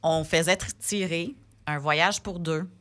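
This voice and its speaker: Femme anglophone, français québécois, voix un peu grave, bavarde, hésitations fréquentes. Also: female voice, lowish voice, unhurried, a bit bright. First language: English. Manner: chatty, many fillers and restarts. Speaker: sponsor representative guest. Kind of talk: casual podcast conversation.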